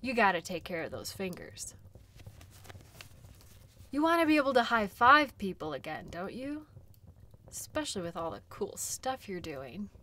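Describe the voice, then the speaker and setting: A woman playing a caring, chatty dog-sled guide in an audio role play, gentle and reassuring, with conversational pauses. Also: mid-range voice, very animated. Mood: concerned, reassuring.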